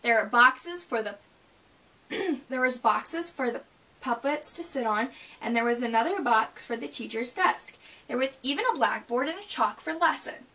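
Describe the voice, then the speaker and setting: A woman reads a children's story in a high, very lively voice. Her tone is bright and expressive, with the odd stumble, and she sounds set back from the microphone. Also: far from the mic. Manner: expressive reading, stumbles over words. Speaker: storyteller reading aloud. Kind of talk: read-aloud of a children's picture book.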